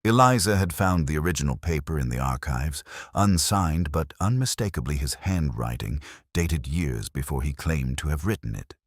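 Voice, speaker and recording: Guy doing a brooding weary detective narrating a mystery, super low voice, lots of rise and fall, dry sound. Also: expressive, no room sound. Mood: somber, reflective. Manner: literary, measured, solemn. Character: brooding, weary male English police inspector, literary and melancholy. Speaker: narrator of a detective audio drama. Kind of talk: scripted first-person mystery narration.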